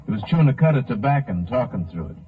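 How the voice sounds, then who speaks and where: A man's voice: mid-range voice, very animated, very fast, lightly acted. A man acting an earnest, folksy American gas station worker under suspicion in a 1950s radio drama. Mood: defensive, thoughtful. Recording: muffled narrow-band 1950s radio recording.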